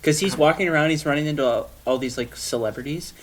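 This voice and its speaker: A man's voice: a little high, fast talker, rough recording. Man telling stories in casual chat.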